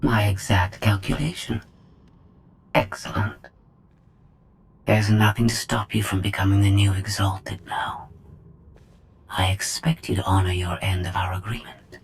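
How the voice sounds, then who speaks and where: An actress playing a calculating female droid in a video game, speaking in a measured, formal, superior manner. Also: very deep voice, rough recording. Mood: cool, calculating. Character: cold, calculating female droid, proud of her intellect. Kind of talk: scripted video game acting.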